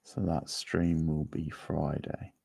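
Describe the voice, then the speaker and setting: Bloke chatting on a quiz stream, slow and rambly, croaky voice, really dry close sound. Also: male voice, rumbling low, flat delivery, mellow, no room sound. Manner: unhurried, rambling, replying to viewers. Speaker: livestream quiz host. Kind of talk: casual livestream chat.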